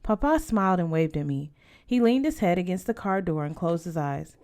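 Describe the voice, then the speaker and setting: A woman's voice: fairly low pitch, expressive, moderate pace, dark tone. Woman reading a first-person story aloud. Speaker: audiobook reader.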